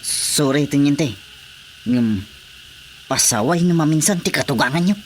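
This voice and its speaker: Earnest army corporal, faint noise. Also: male voice, a little high, animated, a little slow. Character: earnest army corporal searching for a missing sergeant. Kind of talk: radio drama acting.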